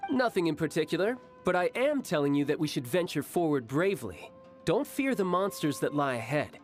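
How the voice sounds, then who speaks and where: A man acting a confident, coolly amoral young warrior who relishes battle, speaking in a smooth, theatrical way in a video game cutscene. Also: on the high side, very animated, fairly quick, soft background music. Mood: cool, assured. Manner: smooth, theatrical, self-assured.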